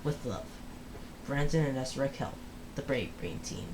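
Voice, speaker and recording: A person reading a story aloud for children as host, with a deliberate, halting delivery full of pauses. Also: mid-range voice, noisy background.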